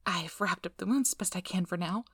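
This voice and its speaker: A woman's voice: fairly low pitch, moderate pace, a bit bright, slightly rough, no room sound. A woman playing a nervous, eager researcher in an ASMR roleplay, rambling in a flustered, apologetic way. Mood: nervous, wry. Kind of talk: ASMR roleplay audio drama.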